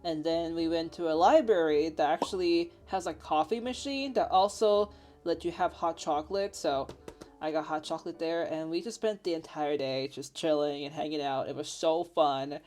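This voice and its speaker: A woman's voice: low voice, normal speed, a little mellow. A young woman with a Finnish accent, chatting casually in a bubbly, giggly, rambling way as she shows her sketchbook. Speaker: artist showing her sketchbook. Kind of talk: casual voice-over chat. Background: faint background music.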